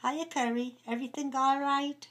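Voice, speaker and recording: A woman's voice: high voice, fairly even intonation, moderate pace, background noise. A woman with a Houghton-le-Spring pitmatic accent from North East England, reading play dialogue as an amateur. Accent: Houghton-le-Spring pitmatic, North East English. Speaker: amateur script reader. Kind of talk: dramatic dialogue read-through.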